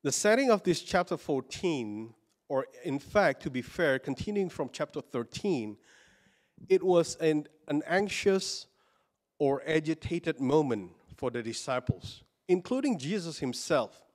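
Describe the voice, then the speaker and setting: A man's voice: on the high side, expressive, a little slow. A man from Indonesia giving a sermon, speaking hesitantly with many fillers and restarts, personal and earnest. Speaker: preacher.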